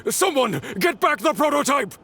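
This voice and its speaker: A man's voice: extremely high pitch, sing-song, normal speed, sharp tone, slight background noise, heavily acted. A man voicing a frantic, scheming and petulant villainous boss in an anime-style video game, barking in exasperation. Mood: exasperated, desperate.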